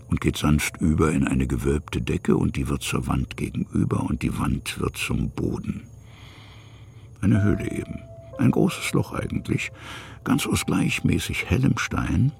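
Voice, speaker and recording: A man narrating a literary radio drama expressively, with a gravelly, husky voice and a musing way of savouring phrases. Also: a bass voice.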